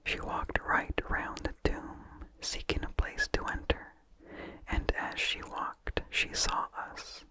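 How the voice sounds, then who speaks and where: A person reading a vintage horror story as ASMR in a soft, hushed, deliberate whisper with no voice behind it. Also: poor audio. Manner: slow, hushed, deliberate delivery. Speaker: narrator.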